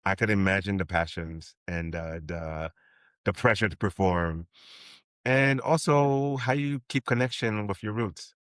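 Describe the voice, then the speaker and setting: Deep-voiced man musing in an interview. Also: a little slow, a bit bright, dry recording, studio quality. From Haiti. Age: 50s. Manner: rambling, many restarts and ums. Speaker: novelist guest. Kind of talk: interview conversation.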